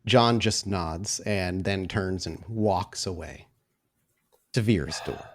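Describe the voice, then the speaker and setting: A man's voice: low voice, takes it slowly. Descriptive male game master narrating.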